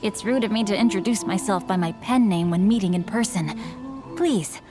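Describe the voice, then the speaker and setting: A refined, genteel young swordsman-writer, voiced by a man for a game, speaking in a light, fairly high voice. His phrasing is formal and courteous. Clear game music sits beneath him. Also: normal speed, close to an everyday voice. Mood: polite. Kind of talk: game voice acting. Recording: game cutscene with music underneath.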